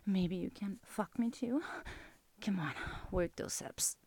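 A woman playing a seductive, teasing, dominant lover in an intimate audio roleplay, breathy and commanding, often dropping into a whisper. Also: mid-range voice, takes it slowly, mellow, no room sound. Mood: urgent, commanding. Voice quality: breathy, husky.

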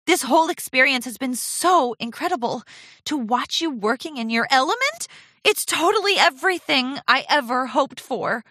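A woman's voice: high voice, animated, moderate pace, pushed and bright, no echo, pitched above the performer's own voice, full character voice. A woman voicing an eager, gushing young female pony apprentice in a storybook, with an earnest girlish manner. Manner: earnest, girlish, stammering when flustered.

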